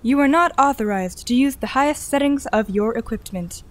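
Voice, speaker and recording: Sci-fi guide system, female woman actor, higher register, composed, official, procedural. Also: lightly acted. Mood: calm, formal. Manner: formal, measured, procedural. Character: artificial guide system, formal, unhelpfully calm. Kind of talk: audio drama acting.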